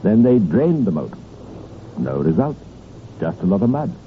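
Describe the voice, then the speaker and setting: A man narrating a 1950s radio crime drama in a measured, grave, deliberate storytelling style. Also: lowish voice, very animated, narrow, tinny audio. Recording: vintage band-limited radio recording, faint noise.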